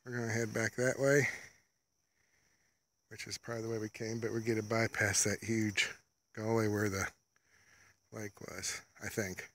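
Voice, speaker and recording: Folksy hiker guy, gravelly voice, casual rambling, handheld camera in the woods. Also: fairly low pitch, level tone, normal speed, long pauses, a bit bright. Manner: laid-back, rambling, folksy talk. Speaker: vlogger. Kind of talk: casual hiking commentary. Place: wooded ravine outdoors. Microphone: handheld camera while walking.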